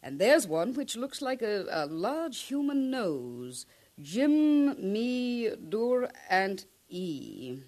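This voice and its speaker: Earnest scholar-translator, slow and halting, widely swooping pitch, 1950s radio play. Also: male voice, big pitch swings, a little mellow, full character voice. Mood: puzzled. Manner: halting, deliberate. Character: scholarly translator of ancient glyphs, earnest and puzzled. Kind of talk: 1950s radio drama acting.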